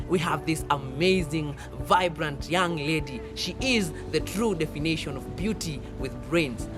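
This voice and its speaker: Upbeat male host introducing the show. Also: on the high side. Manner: upbeat, promotional.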